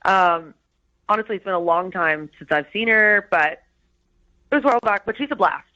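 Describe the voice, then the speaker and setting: Bright-voiced, easygoing woman on a call. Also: mid-range voice, moderate pace, lo-fi sound. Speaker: person answering a phone call. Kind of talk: casual phone chat.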